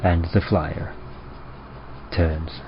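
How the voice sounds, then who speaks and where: A man narrating horror in a dramatic old-time radio style, with a grave, deliberate and ominous delivery. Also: sits low, little pitch movement, noisy background. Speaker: horror story narrator and presenter. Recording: muffled, with prominent music behind. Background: music.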